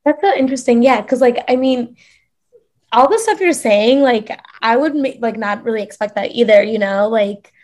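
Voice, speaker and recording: Young woman host, slightly high voice. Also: moderate pace. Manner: relaxed, reflective, uses "like" often. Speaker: podcast host. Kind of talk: casual conversation.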